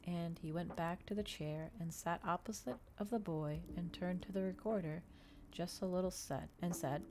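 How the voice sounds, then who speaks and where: Soft-spoken woman reading, lower-pitched voice. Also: slight background noise. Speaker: streamer reading a novel aloud. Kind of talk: ASMR audiobook reading.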